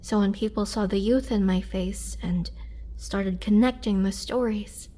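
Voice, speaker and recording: Actress as imprisoned female vampire, roleplay drama: youthful yet centuries old, mid-pitched, sorrowful, soft-spoken storyteller with wistful pauses. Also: steady tone, natural voice. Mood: somber.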